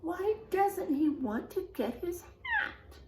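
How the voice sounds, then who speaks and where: A woman reading a picture book aloud to children, pausing to ask them questions. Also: very high voice, wildly swooping pitch, roomy sound, background noise. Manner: slow, asks children questions. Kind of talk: children's storybook read-aloud.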